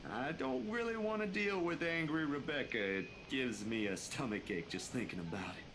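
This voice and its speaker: A man's voice: on the high side, expressive, normal speed, vocal fry, a bit bright. An actor playing a caring young man in a visual novel, speaking in an earnest, conversational way. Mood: reluctant. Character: young man, caring, protective, worried friend. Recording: noisy game audio with ambience.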